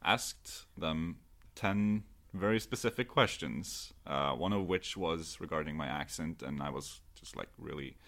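A man in his thirties from Norway with a light Norwegian accent, chatting as an interview guest in a soft, hesitant way with many fillers. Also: rumbling low, measured pace. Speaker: guest, audio creator. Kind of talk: casual interview chat.

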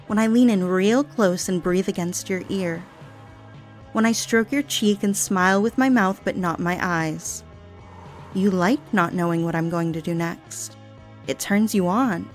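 A woman voicing an unstable, obsessive young woman in an audio roleplay, speaking in a breathy, sing-song coo that turns menacing. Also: on the low side, close to an everyday voice. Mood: low menacing.

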